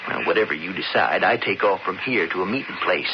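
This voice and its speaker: Young Western robber, intense, coaxing, folksy speech, noisy dull vintage radio drama sound. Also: male voice, deep voice, lively intonation. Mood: earnest, plotting. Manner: folksy, drawn-out frontier talk. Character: intense, persuasive young cowboy outlaw. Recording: muffled, very noisy old radio recording.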